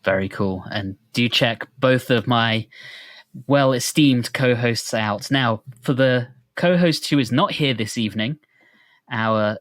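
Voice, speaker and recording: A man's voice: mid-range voice, takes it slowly, dry recording. A man co-hosting a podcast in a casual, chatty style. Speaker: podcast co-host.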